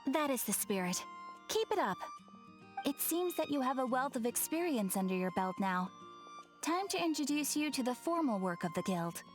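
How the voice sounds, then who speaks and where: A woman voicing a polite, upbeat young guild receptionist with formal, courteous phrasing in a video game. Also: high-pitched.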